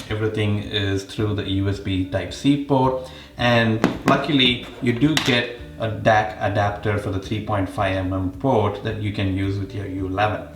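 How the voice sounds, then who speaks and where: Gravelly man reviewing gadgets in roomy sound. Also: fairly low pitch. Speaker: tech reviewer and host. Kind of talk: casual product comparison review.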